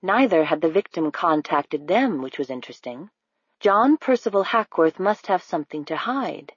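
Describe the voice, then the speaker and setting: A woman narrating an audiobook in an even, unhurried storytelling manner. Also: on the low side, breathy edge, dry recording, dull audio. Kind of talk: scripted narration.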